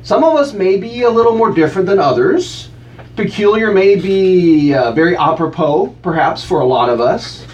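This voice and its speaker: A man joking with the host on a radio show, teasing and animated, reading out mock slogans. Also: high-pitched, moderate pace, far from the mic, low-quality recording. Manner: teasing, animated, breaks into chanted cheers. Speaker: guest co-host. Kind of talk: playful radio banter.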